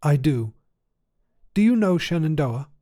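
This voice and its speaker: Guy doing a very old lady starship commander, mellow n soft-toned, calm, formal, bit wistful, scifi audiobook. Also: fairly high pitch. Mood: serene, wistful. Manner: measured, formal, gently wistful. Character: poised two-hundred-year-old woman space station commander, serene. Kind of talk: acted dialogue in science fiction story reading.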